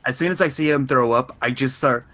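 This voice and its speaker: A man's voice: mid-range voice, a little fast, pushed and bright. A man playing a tabletop roleplay game, chatting casually with the group.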